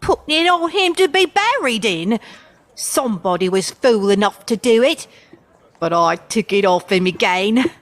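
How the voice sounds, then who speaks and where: A woman's voice: a little high, animated, measured pace. A woman playing a callous, gossipy old working-class charwoman in a radio play, brash and unsentimental. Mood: callous, gloating. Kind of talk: theatrical radio play acting.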